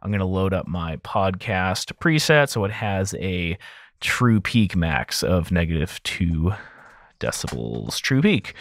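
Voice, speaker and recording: A man's voice: fairly low pitch, fairly bright tone, no room sound. A man in his thirties giving a casual, conversational audio mixing tutorial as host, speaking into a close studio microphone. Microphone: close broadcast mic on arm.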